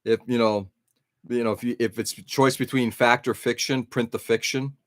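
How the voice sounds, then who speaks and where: A man's voice: mid-range voice, steady tone, normal speed, no room sound, studio quality. A middle-aged man hosting an early-morning live stream, rambling casually and answering viewers' chat comments.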